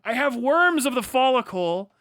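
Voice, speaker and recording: A man voicing a pitiful, sickly Victorian invalid who lists absurd ailments in a drawn-out, melodramatic way, as stream comedy. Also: extremely high pitch, very animated, fairly bright tone, no echo, clean studio sound, heavily acted. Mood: mock suffering.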